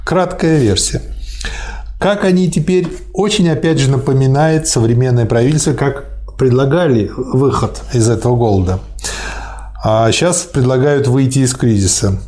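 A man reading a text aloud in a measured way and breaking off for dry comments in a discussion. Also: medium pitch, warm tone.

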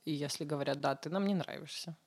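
A woman's voice: deep voice, fast talker, darkish tone, breathy edge. Woman asking short, probing questions. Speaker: podcast co-host, interviewer. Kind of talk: casual podcast conversation.

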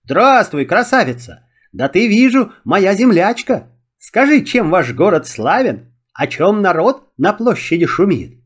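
Casting: plucky folk-tale Cossack, read by a man, high-pitched, cheerful and plain-spoken, very animated. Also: unhurried, slightly rough, full character voice. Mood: hearty, flirtatious. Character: bold, cheerful, free-spirited Cossack hero, plain-spoken.

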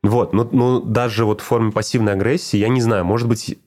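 Mellow low-voiced man in casual talk. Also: fairly even intonation, fairly quick. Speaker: teacher of speech exercises. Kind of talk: casual reflective conversation.

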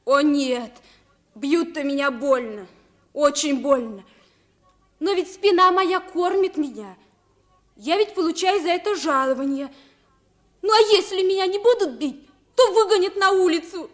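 A woman's voice: very high voice, a little slow, pauses often. A woman playing a timid, humble young servant boy who pleads earnestly and is eager to please, in a radio drama. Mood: pleading. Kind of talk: 1950s Russian radio play acting.

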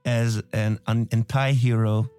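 A man's voice: fairly low pitch, little pitch movement, a little slow, darkish tone, clear, resonant tone, faint music behind. A man in casual chat, speaking hesitantly and often repeating words. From Turkey.

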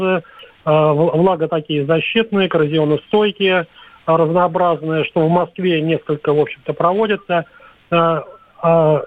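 A man calling a radio show, speaking slowly and deliberately with pauses. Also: fairly high pitch, no room sound. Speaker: caller. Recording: tinny phone line.

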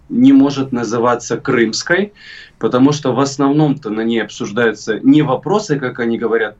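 A man from Crimea, middle-aged, giving political commentary as an interview guest in a deliberate, emphatic way with hesitant pauses. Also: mid-range voice. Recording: muffled remote call, distant sound.

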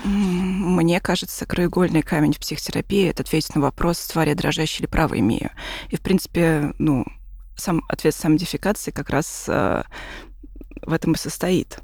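Brisk woman chiming in on a podcast. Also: deep voice, a little mellow, breathy edge, no echo, studio quality. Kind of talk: casual podcast discussion.

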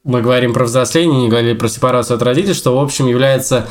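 Guy in his late 20s, podcast host just chatting casually, medium pitch. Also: rapid-fire. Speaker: podcast co-host, teacher and young historian. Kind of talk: casual group podcast chat.

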